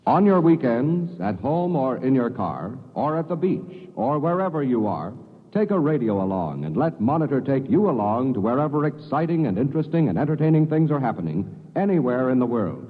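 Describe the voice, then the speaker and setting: A male announcer pitches a weekend radio service with salesy enthusiasm. His pitch rises and falls a lot, and the sound is thin and phone-like, as on an old broadcast. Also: very animated, a little fast, husky. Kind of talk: scripted promo. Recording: thin telephone-like vintage radio.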